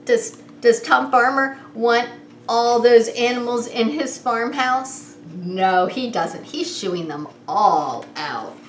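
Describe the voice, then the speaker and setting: A woman hosting story time as a preschool teacher, reading in a sing-song, animated way and coaxing small children with questions. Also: fairly high pitch, slow pace. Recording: roomy echo with some background noise.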